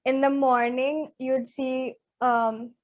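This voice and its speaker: Teen girl co-host, slightly high voice, chatty and quick to get worked up. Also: highs cut off. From Zambia. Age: teens. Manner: chatty, animated, says "like" a lot. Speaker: co-host, interviewee. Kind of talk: casual chat podcast.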